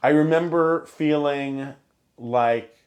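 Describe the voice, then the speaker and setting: A man's voice: on the high side, takes it slowly, a little mellow. A man in a casual conversation, telling stories as an interview guest and acting out the remarks he quotes. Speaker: interview guest, long-time idol fan. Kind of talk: casual chat, storytelling.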